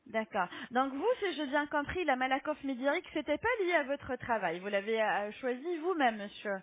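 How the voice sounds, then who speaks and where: A woman making a phone sales pitch as an appointment-booking agent, polite but insistent, often ending on a checking question. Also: animated, moderate pace. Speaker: telemarketing agent booking health insurance appointments. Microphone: telephone. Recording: lo-fi muffled phone call.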